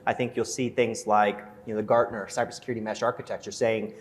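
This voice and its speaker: Fast-talking security executive on a live panel, hall reverb. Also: male voice, fairly low pitch, flat delivery, slight background noise. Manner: rapid, with frequent restarts. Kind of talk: conference panel discussion. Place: conference stage.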